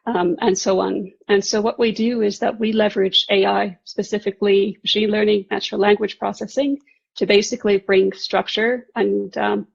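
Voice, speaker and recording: Female panelist from Estonia, founder type, very creaky voice with narrow pitch movement, presenting professionally over slightly dull audio. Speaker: startup founder and CEO, panelist. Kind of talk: professional self-introduction on a panel.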